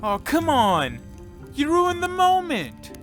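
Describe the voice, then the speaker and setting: A man's voice: very high-pitched, huge pitch swings, slow, a bit bright, soft background music, exaggerated voice. A man voicing Ed, a young teammate who wisecracks and complains. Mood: joking.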